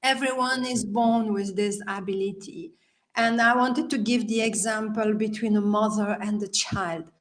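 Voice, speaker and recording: A woman's voice: medium pitch, steady tone, moderate pace. A woman from Belgium with a Belgian French accent, a native French speaker, chatting as a podcast guest over a video call, laughing often and phrasing things in a non-native way. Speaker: podcast guest, healer and life coach. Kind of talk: casual interview conversation.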